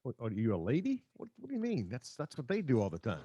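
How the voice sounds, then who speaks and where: A man's voice: mid-range voice, huge pitch swings, fast talker, warm tone, slightly rough, dry recording, highs cut off. Middle-aged guy host, voice goes up and down a lot, chatty and wry, really dry sound. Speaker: sports podcast host. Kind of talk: casual conversational banter.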